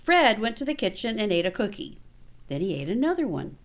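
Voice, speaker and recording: A woman teacher reading a picture book aloud to children, expressively and with casual asides. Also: mid-range voice. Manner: expressive, pausing to comment to children.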